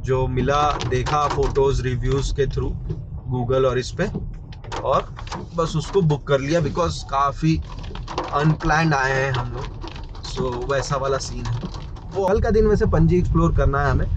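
An Indian man in his thirties talks in a casual vlog style with a slightly high-pitched voice. He is informal and tends to repeat points for emphasis. Clear vehicle and outdoor noise surrounds him as he records on a camera. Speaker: travel vlogger. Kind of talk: casual vlog chat mixing Hindi and English. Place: inside a car and on a rainy roadside. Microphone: camera at arm's length or on dashboard. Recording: noisy vehicle and outdoor ambience.

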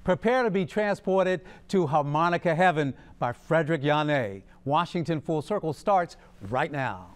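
A man's voice: on the high side, lively intonation, moderate pace, few pauses. A middle-aged man hosting a TV interview show, with upbeat, polished introductions in a studio. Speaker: TV host. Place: TV studio set.

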